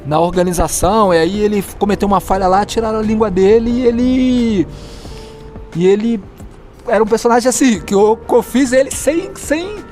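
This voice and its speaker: A Brazilian man with a fairly high voice tells old game stories in a meandering, unhurried way. He often searches for his words and chuckles along the way, with faint music playing behind him in a stairwell. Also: darkish tone. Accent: Brazilian Portuguese. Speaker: guest, fellow tabletop player. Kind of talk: casual storytelling. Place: stairwell of an apartment building.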